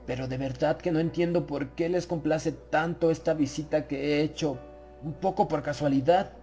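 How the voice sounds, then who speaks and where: Guy doing a anxious polite english gent, higher voice, halting n pleading, horror audiobook, music under it. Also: steady tone, dark tone, natural voice. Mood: uneasy, firm. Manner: halting, pleading, forcedly courteous. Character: nervous, polite Englishman trapped among sinister hosts.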